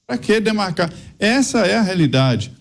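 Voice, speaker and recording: Man, political commentator, emphatic, argumentative, slightly high voice. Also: very animated, normal speed, gravelly. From Minas Gerais, Brazil. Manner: emphatic, argumentative, rambling asides. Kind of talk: panel debate commentary.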